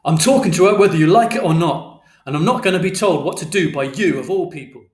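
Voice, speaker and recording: A man voicing a furious, hurt, foul-mouthed father in an audiobook, loud, explosive and indignant. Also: fairly high pitch, fast, reverberant, voice pushed up, lightly acted. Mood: angry, defiant.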